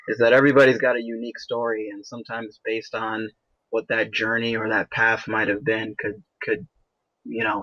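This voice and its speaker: A man's voice: fairly low pitch, fairly bright tone, slightly rough, muffled recording. A man from Canada hosting a podcast, asking a long, rambling question full of restarts and "you know". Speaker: podcast host. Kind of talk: casual podcast interview.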